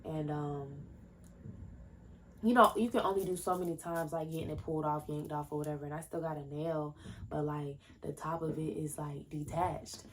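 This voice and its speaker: Low-voiced young woman rambling on a podcast. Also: steady tone, normal speed, slight background noise. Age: 20s. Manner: rambling, jumps between tangents; loose, chatty, many fillers and restarts. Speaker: podcast host. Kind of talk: casual solo podcast talk. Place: at home, indoors. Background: faint fireworks popping.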